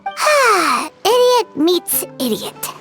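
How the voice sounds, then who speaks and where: A pompous little dragon lord from a video game, speaks in an extremely high, swooping voice. The creature is ancient, bombastic and quick to take offense, drawing out its boasts slowly. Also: female voice, very animated, a bit bright, soft background music, heavily acted. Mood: scornful.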